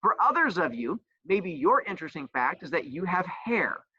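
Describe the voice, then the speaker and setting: A man's voice: high-pitched, very animated, moderate pace, slightly rough, no room sound, muffled recording. A man teacher who also coaches, explaining a homework assignment with playful jokes and teasing. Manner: says he has a loud voice.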